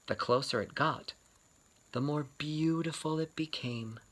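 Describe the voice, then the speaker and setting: A man telling a children's picture-book story as a read-aloud, expressive and varied in his emphasis. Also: fairly high pitch, unhurried, rough recording.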